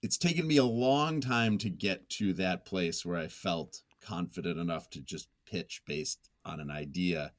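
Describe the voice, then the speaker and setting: A man with a deep voice speaks as a guest in a reflective interview. He is thoughtful and self-effacing, often feeling his way through a sentence with some hesitation. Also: a little slow, hardly any pauses. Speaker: interview guest, nonfiction writer.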